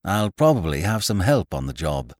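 A man's voice: low-pitched, very animated, moderate pace, darkish tone, dry recording, clean studio sound, pitched above the performer's own voice. A man voicing a modest, dependable English police detective, plain and understated, in an audiobook. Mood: calm, wry.

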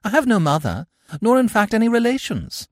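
A girlish, high-pitched young lady of good breeding, sweet and eager to please, voiced by a man reading aloud. Her lines swoop about brightly and come across close and dry. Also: very animated, a little fast, no room sound. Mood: sweetly eager. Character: young upper-class woman, sweetly naive ward.

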